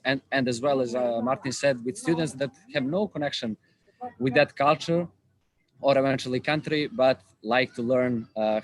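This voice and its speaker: A young student speaks on a panel. He answers in a halting way, with many fillers and restarts, at a middling pitch. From Montenegro. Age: young adult.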